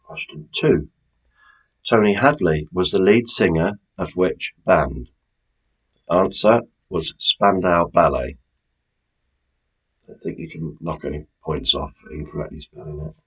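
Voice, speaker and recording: Man, quiz host, low-pitched, rough husky tone, measured question reading, informal. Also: rough recording. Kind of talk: quiz reading, questions and multiple-choice answers.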